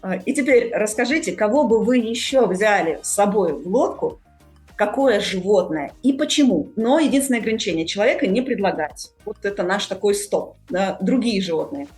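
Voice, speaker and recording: A woman's voice: mid-range voice, lively intonation, a little fast, a little mellow. Woman hosting a podcast over music. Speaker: podcast host.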